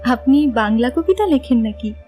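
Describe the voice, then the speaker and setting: Composed, curious young woman, played by an actress, high-pitched, politely teasing, romantic audio drama over soft music. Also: lively intonation, normal speed, lightly acted. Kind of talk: dramatised audiobook dialogue acting.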